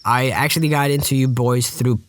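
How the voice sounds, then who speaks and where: A man's voice: mid-range voice, steady tone, brisk, no room sound. A man whose first language is Russian, chatting casually on a podcast in a rambling, self-deprecating, jokey way. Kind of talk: casual joking podcast chat.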